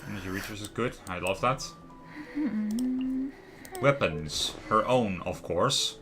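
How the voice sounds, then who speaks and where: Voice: low-pitched, lively intonation, takes it slowly, over music. A game streamer giving casual live commentary, muttering short reactions to the screen.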